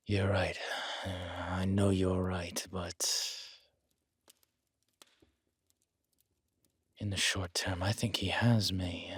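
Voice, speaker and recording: Male actor as menacing king, slow delivery, husky, controlled, vengeful, intimate roleplay audio. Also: low-pitched, exaggerated voice. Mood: brooding, angry. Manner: measured, quietly menacing, velvety politeness.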